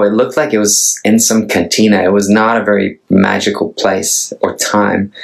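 A man in his thirties with a Norwegian accent speaks at home in a low, gravelly voice. He talks very slowly and thoughtfully, pausing often and restarting his sentences. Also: poor audio. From Norway. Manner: hesitant and thoughtful, many restarts. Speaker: singer-songwriter. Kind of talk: casual chat to camera.

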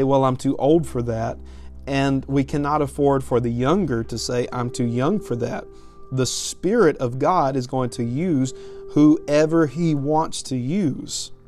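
A man in his thirties speaks as a podcast preacher over plucked guitar music. His voice sits at a medium pitch. He teaches in a conversational way, stressing points firmly and often repeating a phrase before finishing it. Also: a little slow, darkish tone. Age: 30s.

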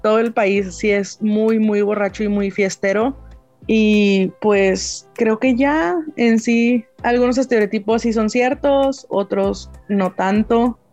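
A woman's voice: mid-range voice, steady tone, slow, darkish tone, soft background music. A woman from northern Mexico with a northern Mexican accent, chatting frankly and firmly as a guest. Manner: frank, firm and talkative. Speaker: podcast guest. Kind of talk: casual chat.

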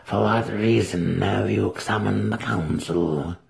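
A man voicing an ancient, withered, malicious dark elf matriarch in a hushed, breathy, slow manner, in a fantasy story reading. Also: lowish voice, heavily acted. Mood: imperious.

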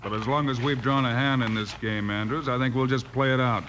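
Man as a steady cowboy hero, noisy recording. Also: mid-range voice, natural voice. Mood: cool, firm. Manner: measured, firm. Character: calm, steady, upright cowboy lawman hero. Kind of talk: old-time radio western acting. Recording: noisy old radio drama recording.

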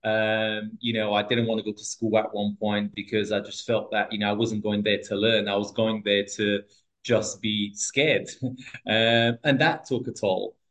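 A man's voice: fairly low pitch, level tone, moderate pace, a bit bright. A man from Portugal in his thirties, a podcast guest telling his life story in a casual, hesitant way with many restarts and fillers.

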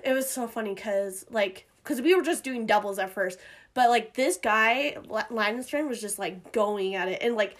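A woman hosting a podcast in casual chat, rambling with lots of fillers, her voice a little hoarse from a cough. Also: a little high, normal speed. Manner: chatty, rambling with many fillers and restarts. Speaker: podcast host.

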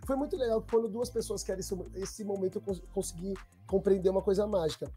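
Young são guy, chill slangy storytelling, warm mellow voice. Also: high voice, fast talker, soft background music. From Brazil. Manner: slangy street talk, relaxed. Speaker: documentary maker, guest. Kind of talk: casual storytelling chat.

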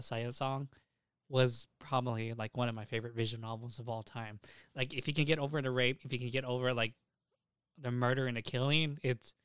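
Young male podcast host, smooth clear voice, close dry sound, emphatic, sweary casual chat. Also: mid-range voice, a bit bright, no room sound. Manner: profane, emphatic, repeats himself.

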